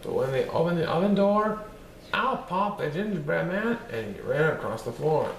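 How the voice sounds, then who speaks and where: A man reading a children's story aloud in a lively, expressive way. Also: a little high, moderate pace, gravelly, far from the mic, a little hiss or hum. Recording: roomy echo, faint ambience.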